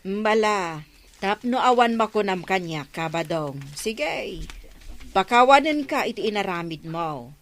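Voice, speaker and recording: A woman's voice: medium pitch, lively intonation, a little slow. A woman acting an aggrieved, firm village woman demanding redress, in an Ilocano comedy radio drama with an Ilocano accent.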